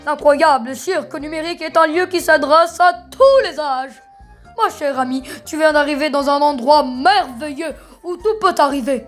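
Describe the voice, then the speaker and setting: A woman's voice: sits high, moderate pace, faint music behind, natural voice. A woman voicing a flamboyant, bossy circus ringmaster in a cartoon fan dub, announcing everything grandly and theatrically. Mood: cheerfully strict.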